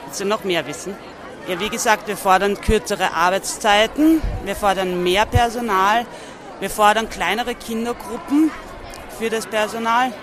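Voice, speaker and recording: A woman's voice: medium pitch, fairly even intonation, normal speed, over music. Woman speaking amid a crowd. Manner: listing demands in repeated simple phrases. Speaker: after-school educator. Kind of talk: street interview at a demonstration. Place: outdoors at a street demonstration. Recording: outdoor recording amid demonstration crowd.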